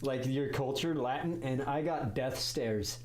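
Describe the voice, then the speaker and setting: A relaxed man with a mid-range voice tells a story in casual podcast chat. He chuckles through his asides, and the room sound is roomy and echoey. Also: mid-range voice, measured pace. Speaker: podcast guest.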